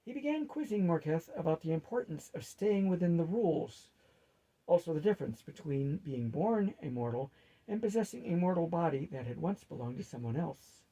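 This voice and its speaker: Slightly high, husky male storyteller. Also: lively intonation, normal speed, warm tone, rough recording. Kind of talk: reading a fantasy story aloud.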